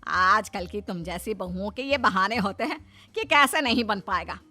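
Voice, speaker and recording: A woman's voice: mid-range voice, lively intonation, normal speed, pushed and bright, soft background music. A woman voicing a nagging, boastful mother-in-law who scolds and taunts in a family cartoon. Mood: scolding. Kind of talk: Hindi family cartoon voice acting.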